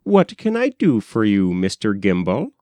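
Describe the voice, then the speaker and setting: A man's voice: on the low side, huge pitch swings, unhurried, darkish tone, dry recording. A man voicing an earnest, prim, determined young society woman in a storybook. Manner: straightforward, prim, resolute.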